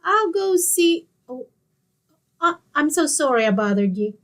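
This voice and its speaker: A woman's voice: high voice, big pitch swings, unhurried. A woman playing a strict, nosy older landlady in an old-time radio sitcom, prying and emphatic. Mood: apologetic.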